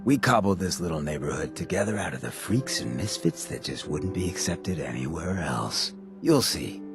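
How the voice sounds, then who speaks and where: An actor playing a swaggering, roguish ghoul town boss in a video game, cocky and folksy with veiled menace, in a gravelly voice. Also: male voice, sits low, lively intonation, moderate pace, music in the background. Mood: welcoming, sly menace. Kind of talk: video game character acting.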